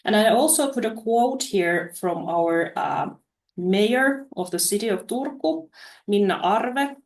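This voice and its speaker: A woman presenting study opportunities over a webinar, halting, with many fillers and restarts. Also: fairly low pitch, darkish tone, echoey room.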